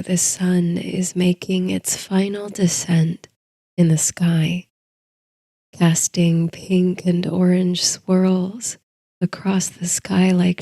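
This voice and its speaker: A woman narrating a guided sleep story in a soothing, hushed, unhurried way. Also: lowish voice, dry recording.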